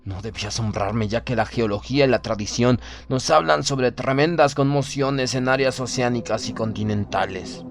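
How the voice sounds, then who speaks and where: A man narrating a literary horror audiobook in a solemn, measured, formal manner. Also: mid-range voice. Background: background music.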